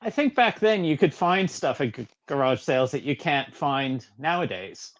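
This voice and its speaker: A man's voice: medium pitch, lively intonation, moderate pace. A Canadian man, an animator and author, answering interview questions in an easygoing, modest way with many uh fillers. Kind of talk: casual interview talk. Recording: slightly dull, lo-fi sound.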